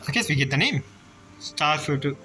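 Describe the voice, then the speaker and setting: A man giving casual, halting commentary on a video game, musing aloud as he plays. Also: fairly high pitch, animated, sharp tone. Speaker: gameplay streamer.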